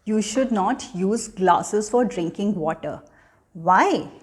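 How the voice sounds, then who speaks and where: A woman storyteller speaks to children in an educational style. Her pace is very unhurried and her pitch sits in the middle range. She sounds teacherly and deliberate, laying things out step by step. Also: lively intonation. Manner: teacherly, deliberate, asking questions then answering them. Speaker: children's storyteller and channel host. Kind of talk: educational talk addressed to children.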